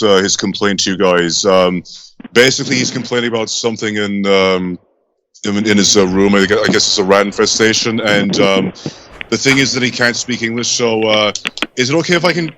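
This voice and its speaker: A man making a prank call as a translator, speaking in a halting, deadpan way. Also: fairly low pitch, a little hiss or hum, rough recording. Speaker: prank caller posing as a translator.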